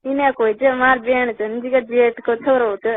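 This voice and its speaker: A woman's voice: fairly high pitch, fairly even intonation, normal speed, a little hiss or hum. A woman with a Rajasthani accent chatting casually on a phone call, complaining and repeating herself. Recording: tinny phone line call recording.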